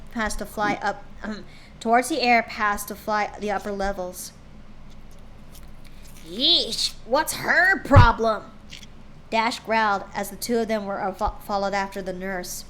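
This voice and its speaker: A woman's voice: medium pitch, fairly even intonation, moderate pace, fairly bright tone, a little hiss or hum, low-quality recording. A woman streamer reading fan fiction aloud live, casually stumbling and correcting herself.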